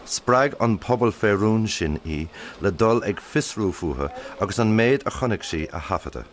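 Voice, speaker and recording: A man narrating a documentary in a measured, even scripted read. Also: lowish voice, slight background noise. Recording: voice-over over music.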